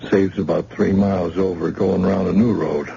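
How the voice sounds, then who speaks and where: An actor playing a wounded working-class plumber lying in a hospital bed, speaking weakly and hesitantly in old-time radio drama style. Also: male voice, low voice, fairly even intonation, moderate pace, warm tone, full character voice. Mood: weary. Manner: weak, hesitant, gravelly. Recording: dull lo-fi old radio recording.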